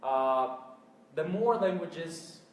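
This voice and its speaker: A man's voice: fairly high pitch, lively intonation, drawn-out words. Earnest young man talking in an echoey room. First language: Spanish. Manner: earnest, hesitant with frequent fillers. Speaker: event speaker. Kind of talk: public talk to an audience. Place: event room with live audience. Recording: echoey room, mic at a distance.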